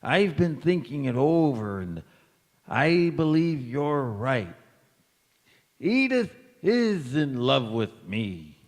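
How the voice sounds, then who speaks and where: A man acting a feeble, forgetful old grandfather in a stage radio play, halting, dreamy and fondly teasing. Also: medium pitch, big pitch swings. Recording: echoey hall with long reverb.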